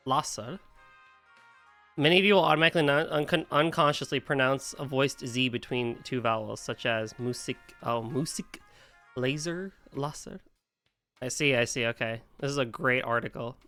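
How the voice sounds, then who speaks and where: American guy streamer, bright edge, loose and jokey, music low behind. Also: normal speed. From United States. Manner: rambling, laughs easily, swears. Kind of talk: casual live-stream reaction.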